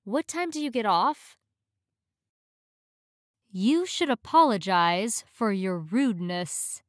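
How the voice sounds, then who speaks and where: A woman reading short English practice phrases in a clear, measured way, each one said twice. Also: medium pitch, expressive, no echo, clean studio sound.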